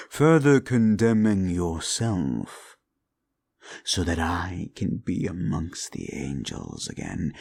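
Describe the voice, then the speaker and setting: A man voicing a cruel, unrepentant male demon in an audio roleplay, talking slowly with contempt and dropping into menacing whispers. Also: no echo, heavily acted. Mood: scornful. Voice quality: gravelly, low, menacing.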